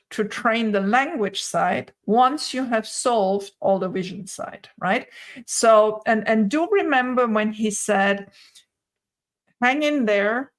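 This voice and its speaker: A middle-aged woman speaking English as a third language, giving conversational opinion and analysis as an interview guest on a video call, often repeating words as she builds her point. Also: fairly high pitch. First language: not English (English is her third language). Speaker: guest, retail investor commentator. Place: indoor room at home, on a video call.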